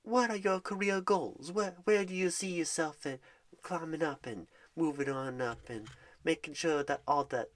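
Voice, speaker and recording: Folksy ASMR recruiter, mid-pitched and sweet, calls everyone darling, with faint typing under the voice. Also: normal speed, a little hiss or hum. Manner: drops to soft whispers at times. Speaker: job recruiter in a roleplay. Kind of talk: ASMR roleplay phone screen. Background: keyboard typing.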